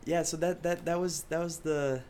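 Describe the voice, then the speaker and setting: A man's voice: fairly high pitch, fairly even intonation, moderate pace, a little mellow, a little hiss or hum. A young man from France, a native French speaker, talking in a casual interview with many fillers and restarts. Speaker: pop singer guest.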